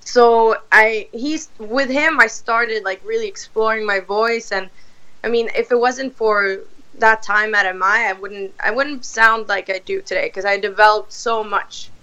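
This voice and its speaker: A raspy-voiced woman from Sweden in her thirties, a rock singer answering interview questions in a chatty way with lots of fillers and restarts. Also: mid-range voice, fairly even intonation, a little slow, pushed and bright, highs cut off.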